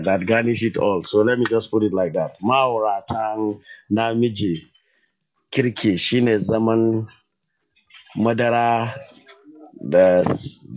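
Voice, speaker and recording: A man from northern Nigeria with a Nigerian accent, a native Hausa speaker, calling in to a radio show and talking with many fillers. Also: lowish voice. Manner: unhurried, hesitant, with fillers and laughs. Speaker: radio caller. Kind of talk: phone-in call. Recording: muffled phone-in line.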